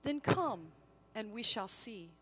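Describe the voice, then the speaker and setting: A woman voicing a solemn, firm male angel who speaks in grave, measured admonishment in a storybook reading. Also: medium pitch, expressive, fairly bright tone, breathy edge, big-room sound, full character voice. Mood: calm, firm.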